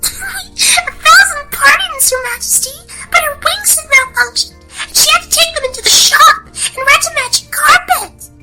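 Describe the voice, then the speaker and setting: Polite little fairy played by a woman, high, cutesy and animated, storybook parody with music behind. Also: unhurried, poor audio. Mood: apologetic. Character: polite, chirpy little fairy godmother, female. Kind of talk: gag-dub parody fairy-tale radio play.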